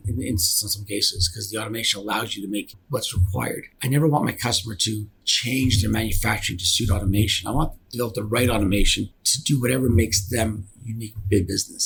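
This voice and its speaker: A man's voice: mid-range voice, gravelly, husky, low-quality recording. A middle-aged man from Canada explaining things conversationally as a business owner being interviewed on a podcast, often repeating words for emphasis. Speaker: engineering company founder and director, interview guest.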